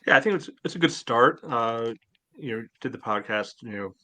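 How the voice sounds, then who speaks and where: A man's voice: mid-range voice, expressive, moderate pace, bright, forceful tone, no echo. A man co-hosting a casual sports podcast, wry and joking with his friends. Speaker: podcast co-host.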